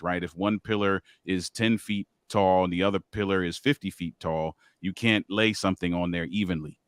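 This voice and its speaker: Very deep-voiced male podcast host. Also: no room sound, studio quality. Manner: energetic, repeats words as he restarts, sums up the guest's points. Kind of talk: interview hosting.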